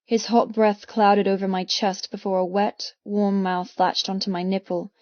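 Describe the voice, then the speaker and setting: A woman narrating a first-person audiobook with expressive, intense, dramatic delivery. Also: medium pitch, normal speed, no room sound. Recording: slightly muffled, dry close studio sound.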